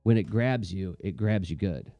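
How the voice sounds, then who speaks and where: A man's voice: sits low, normal speed, warm tone, no room sound, faint music behind. A man in casual, reflective chat, talking in a thoughtful, rambling, conversational way as an artist hosting a livestream.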